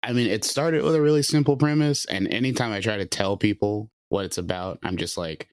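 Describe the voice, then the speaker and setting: A man's voice: on the low side, a little fast. A man in his late twenties, a writer and producer, talking loosely in a casual interview with lots of fillers. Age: late 20s. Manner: loose and chatty, many fillers.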